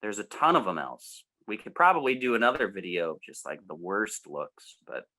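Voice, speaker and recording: A man's voice: fairly low pitch, big pitch swings, normal speed, bright, forceful tone, low-quality recording. An American man in his thirties chatting in an informal, rambling way as a co-host on a music show. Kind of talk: casual chat.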